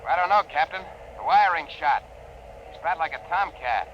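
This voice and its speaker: A practical spaceship engineer, played by a man, with a fairly high voice and clipped, matter-of-fact reports. It sounds like 1950s science fiction radio, dull and boxy with faint noise. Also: moderate pace, natural voice. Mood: tense, businesslike. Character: practical male power-room engineer on a spaceship. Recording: muffled, band-limited 1950s radio recording.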